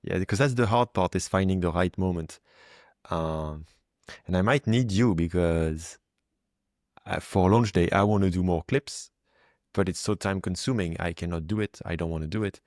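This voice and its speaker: A man's voice: deep voice, normal speed, darkish tone, dry recording, studio quality. A man hosting a podcast, chatting casually and rambling with fillers. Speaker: podcast host. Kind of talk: casual interview chat.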